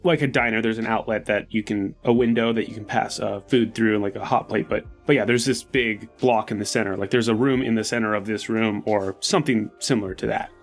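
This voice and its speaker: A man's voice: lowish voice, fairly even intonation, fairly quick, few pauses, soft background music. A man playing a tabletop game, casually thinking aloud and analysing the scene in a conversational way.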